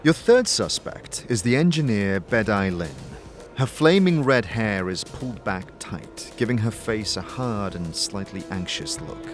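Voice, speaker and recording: Male narrator for fantasy audio drama, animated and varied in pitch, music underneath. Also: a little slow. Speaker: narrator and lead actor. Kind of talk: scripted second-person fantasy narration.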